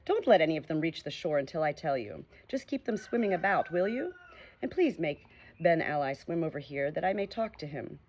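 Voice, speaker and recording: Woman reading as a gentle storybook doctor, polite and measured, mild manner. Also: low voice, very animated, slight background noise. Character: kindly gentle male animal doctor.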